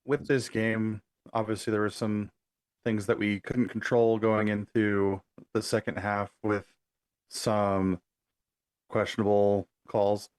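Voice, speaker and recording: A male reporter puts a careful press conference question in a measured way. His voice is fairly low and stays very even, with a dry sound. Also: clean tone, no echo.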